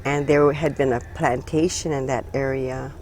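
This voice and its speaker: An older woman from Hawaii, a retired social worker recalling her life in a relaxed interview, speaking haltingly with many pauses and fillers. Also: extremely low pitch, slight background noise. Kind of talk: casual reminiscing conversation.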